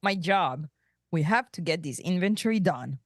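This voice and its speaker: A woman playing a dejected young male starship ensign in an animated sci-fi comedy table read, plaintive and exasperated. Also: on the low side, moderate pace, no echo, clean studio sound.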